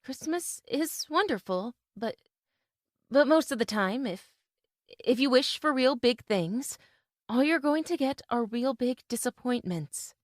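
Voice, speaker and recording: A woman playing a gentle, practical young mother in an old-time radio drama. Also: high voice, lively intonation, a little slow, no room sound, studio quality. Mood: tender.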